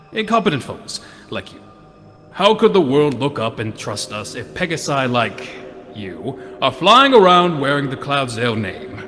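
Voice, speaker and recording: A man's voice: medium pitch, bright, forceful tone. A man playing a sadistic pony doctor who guides a tour with theatrical, gloating mock courtesy in a horror story reading. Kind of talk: dramatized horror fan fiction reading. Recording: long hall reverb, music behind.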